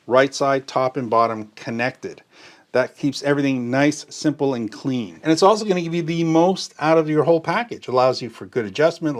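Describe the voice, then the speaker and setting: Brief: middle-aged male gear demonstrator, mid-pitched, patient step-by-step explainer. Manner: explanatory, uses everyday analogies. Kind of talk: hands-on product tutorial.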